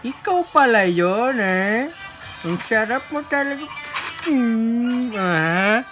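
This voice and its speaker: A woman's voice: a little high, animated, slow pace. A woman with a Filipino accent chatting casually while playing a mobile game, taunting and swearing in short repeated phrases. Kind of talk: casual gameplay chatter with teammate. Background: music.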